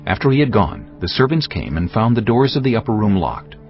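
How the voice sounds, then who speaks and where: Deep, dramatic male narrator. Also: very animated. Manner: measured, solemn storytelling. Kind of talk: dramatic scripted Bible narration. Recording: dull audio with music behind. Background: music.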